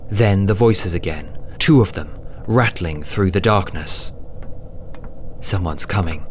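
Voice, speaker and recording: A man narrating a dramatic scripted audiobook in a suspenseful, deliberate manner, sometimes hushed. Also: low-pitched, a little hiss or hum.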